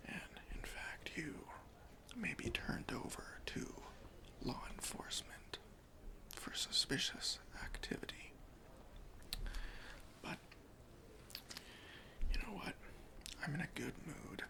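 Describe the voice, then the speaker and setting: A man whispering an ASMR roleplay as a deadpan airport security officer, breathy and deliberate, with pauses. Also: a little high. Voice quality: breathy whisper with some fry. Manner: slow, hushed, deliberate, with pauses.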